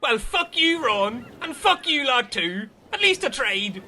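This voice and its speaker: A man's voice: very high-pitched, big pitch swings, measured pace, sharp tone, a little hiss or hum, heavily acted. A man playing a touchy, boastful, eager castaway in a comic radio play. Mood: indignant.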